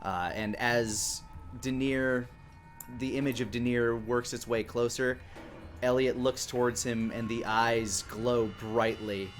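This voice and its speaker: A man running a live tabletop game as game master, narrating scenes descriptively with halting delivery. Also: medium pitch, fairly even intonation, faint music behind.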